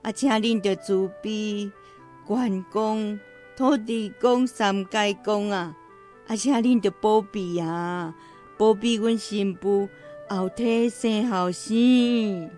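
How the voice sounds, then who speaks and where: Slow-spoken old Taiwanese grandmother, acted by a woman. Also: medium pitch, darkish tone, close to an everyday voice. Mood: pleading, sighing. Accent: Taiwanese Hokkien. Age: older. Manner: sighing, drawn-out, emphatic delivery. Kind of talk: radio drama acting. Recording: music behind.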